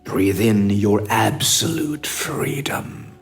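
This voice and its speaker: A man narrating a scripted guided meditation in a measured, instructive manner with long pauses. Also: on the low side, soft background music.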